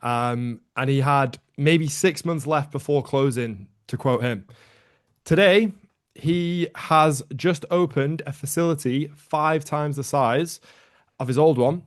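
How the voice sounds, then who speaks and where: A man from Lancaster with a Northern English accent, giving an energetic webinar presentation over a video call. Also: smooth. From Lancaster, Northwest England. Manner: upbeat, energetic sales-pitch delivery. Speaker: guest presenter, gym owner. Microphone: computer mic on a video call.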